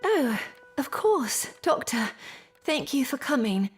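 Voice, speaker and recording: A woman's voice: sits high, very animated, slow, husky, soft background music, heavily acted. A woman playing a polite, anxious young Victorian lady in an audio drama, with formal, earnest speech. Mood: grateful.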